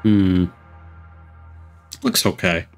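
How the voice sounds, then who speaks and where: A man's voice: sits low, steady tone, extremely slow. A man streaming casual live commentary as he reacts to a games showcase. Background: game trailer music.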